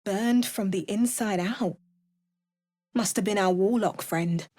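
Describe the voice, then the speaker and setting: Measured, watchful fantasy adventurer, dry studio sound. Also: female voice, medium pitch, a little mellow, dry recording. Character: female fantasy adventurer, watchful, wry, steely spellcaster. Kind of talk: scripted video game voice lines, exploration and combat barks.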